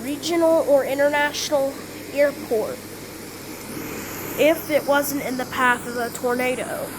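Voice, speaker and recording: A woman with a high voice plays an emergency alert announcer in an amateur improvised scenario. Her delivery is halting and repeats itself. The home recording carries clear noise. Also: fairly even intonation.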